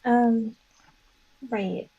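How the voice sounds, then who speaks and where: A woman's voice: on the high side, steady tone, very slow, vocal fry, dark tone, slightly rough, muffled recording. A woman playing a tabletop game, chatting and improvising casually in a hesitant, apologetic way. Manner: hesitant, apologetic, many trailing restarts. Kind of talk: casual chat and improvised role-play.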